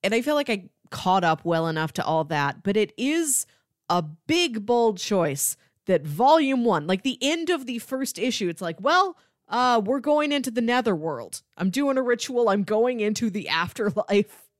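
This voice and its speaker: A woman's voice: on the high side, sing-song, normal speed, dry recording, clean studio sound. A woman co-hosting a podcast in an informal, conversational style. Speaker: podcast co-host. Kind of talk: casual chat.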